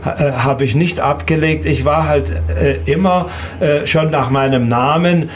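An elderly man who grew up in Serbia and Hungary, recounting his life in an interview in a halting, hesitant way with repeated words. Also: on the high side, a little hiss or hum. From Danube Swabian from Serbia and Hungary. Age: 70s or older. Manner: halting, hesitant, repeats words, often ends with a questioning tag.

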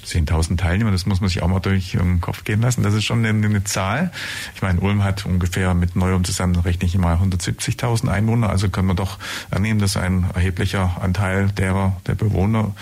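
A man's voice: low voice, fairly even intonation, brisk, a little mellow. A man conducting a conversational interview, who rambles and often searches for words with fillers. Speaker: radio interviewer.

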